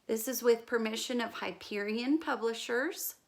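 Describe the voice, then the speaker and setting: A woman's voice: fairly high pitch, normal speed. A woman reading a picture book aloud to young children like a teacher, expressively, with explanatory asides. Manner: careful, expressive storytelling with gentle questions to listeners.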